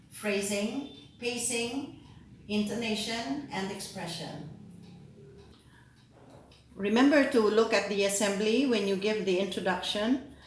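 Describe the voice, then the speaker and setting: A woman teaching scripture readers, reading a liturgical text slowly and deliberately, pausing at each phrase. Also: medium pitch, roomy sound, slight background noise, poor audio. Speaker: lector trainer. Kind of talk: slow, measured scripture reading and pronunciation drill.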